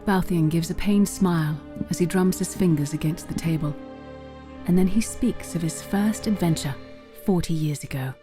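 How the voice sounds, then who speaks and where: A woman's voice: fairly low pitch, normal speed, mellow. A woman narrating a fantasy story in a scripted, dramatic storytelling style. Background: faint music.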